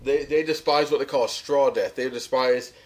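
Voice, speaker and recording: A man's voice: mid-range voice, steady tone, moderate pace. A man chatting casually as a podcast co-host, recapping a television episode.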